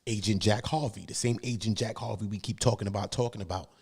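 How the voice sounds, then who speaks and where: A man's voice: fairly low pitch, quick pace, creaky, husky. An American man hosting a livestream, giving casual, slangy street commentary on court cases and repeating phrases for emphasis.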